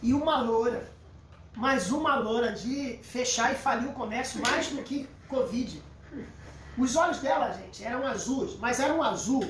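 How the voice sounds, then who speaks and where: A middle-aged Brazilian man with a Carioca accent teaching the Bible informally, emphatic and repetitive like a storyteller. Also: extremely high pitch. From Rio de Janeiro, Brazil. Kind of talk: informal Bible lesson with personal anecdotes. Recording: roomy echo, distant mic, faint noise.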